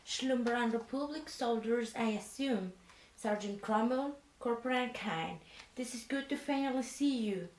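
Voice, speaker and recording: Woman voicing a resistance leader, halting fillers, soft-spoken, slow, heard from a distance. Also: on the high side, reverberant, close to an everyday voice. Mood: earnest. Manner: halting, slow, with hesitant fillers and a foreign-sounding phrasing. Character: female resistance leader in pajamas, earnest. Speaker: leader of a sleeper resistance unit. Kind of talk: soft-spoken ASMR roleplay.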